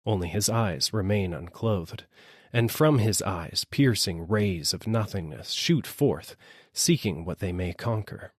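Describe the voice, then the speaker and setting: A man's voice: fairly low pitch, no echo, clean studio sound. A man reading a literary story aloud as a scripted audiobook narration. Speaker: audiobook reader.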